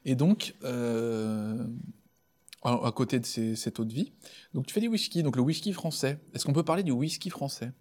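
A man of middle pitch leads a relaxed interview. He speaks informally and often nods along with a quick "ouais" or "d'accord" between his questions. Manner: friendly, informal, many short acknowledgements. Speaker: interviewer, host. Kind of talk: casual interview talk.

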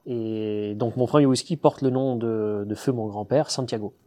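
A man, a distiller, answering interview questions in a relaxed, hesitant way, full of fillers and restarts. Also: medium pitch, normal speed. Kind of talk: casual interview talk.

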